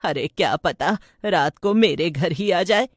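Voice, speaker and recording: Lady doing an older village woman, cautious and jumpy, slow, a bit low, storybook. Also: expressive, no room sound, studio quality. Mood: fearful.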